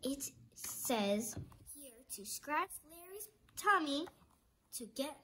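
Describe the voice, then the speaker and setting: Very high-pitched child reading aloud. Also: big pitch swings, slow, pushed and bright. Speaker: read-aloud presenter. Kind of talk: children's book read-aloud.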